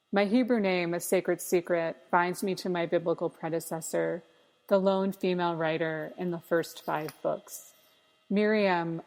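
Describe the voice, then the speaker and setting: A woman reading her own literary essay in measured, deliberate short phrases. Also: fairly low pitch, darkish tone, low-quality recording. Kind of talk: scripted literary narration of a personal essay.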